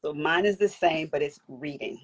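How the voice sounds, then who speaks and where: Woman host of a book chat, eager and affirming, slightly husky, lowish voice. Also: moderate pace. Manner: enthusiastic, affirming. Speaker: interview host. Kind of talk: casual interview conversation.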